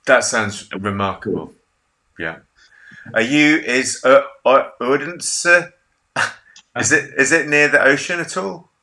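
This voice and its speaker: A man's voice: medium pitch, animated, takes it slowly, pauses often, bright, forceful tone. A man in his thirties hosting a casual video-call interview from a home office, chatty, jokey and self-deprecating. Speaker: interview host.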